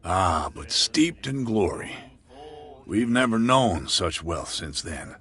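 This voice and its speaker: An actor playing a wary middle-aged island bartender in a video game, telling local lore with lively pitch. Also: male voice, slow pace, crowd noise, full character voice. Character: gruff middle-aged male island barkeeper.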